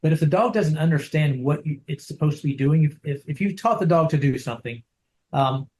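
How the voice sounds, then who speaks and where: Soft-spoken male dog trainer on a video call, a bit higher than most men, loose and rambling. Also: fairly quick. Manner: soft-spoken, rambling with many restarts and "right?". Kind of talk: casual interview over video call.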